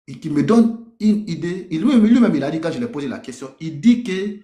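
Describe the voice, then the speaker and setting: A man's voice: on the high side, expressive, a little fast, mellow. A man from Africa speaking with emphasis in a group debate, giving impassioned personal testimony and repeating phrases for weight. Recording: echoey room.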